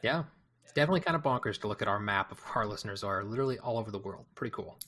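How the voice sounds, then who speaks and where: Bright-toned man hosting a podcast. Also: on the low side, animated, rapid-fire. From Kansas, USA. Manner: upbeat, leads the questions. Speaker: podcast host. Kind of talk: casual chat.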